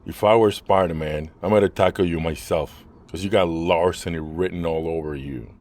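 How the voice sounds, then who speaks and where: The deep-voiced, officious patrolman who strolls up to break up trouble in a cartoon street, acted by a man. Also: fairly even intonation, moderate pace, a little mellow, dry recording, studio quality, close to an everyday voice. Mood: stern, suspicious. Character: gruff, officious male beat cop.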